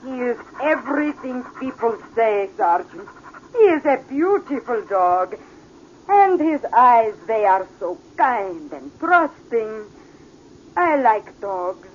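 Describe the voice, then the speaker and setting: A passionate, worldly Italian madame in a 1940s radio play. Her high voice carries an Italian accent and formal, theatrical phrasing. The sound is dull, with clear vintage noise. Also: big pitch swings, slow pace. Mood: wistful, poised. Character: worldly Italian woman, mature, passionate, secretly protective mother. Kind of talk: 1940s radio drama acting. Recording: muffled, noisy vintage radio recording.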